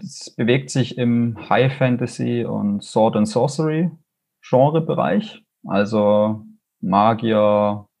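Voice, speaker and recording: A man's voice: on the low side, vocal fry, darkish tone, slightly rough. A man with a Swabian accent, a fantasy author speaking casually and enthusiastically in an interview, rambling with fillers and restarts. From Swabia, Germany.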